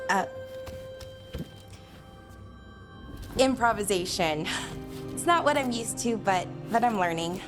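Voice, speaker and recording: An actress playing an earnest, slightly hesitant and chatty young elf scholar in a fantasy game. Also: female voice, a little high. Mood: shy, tender.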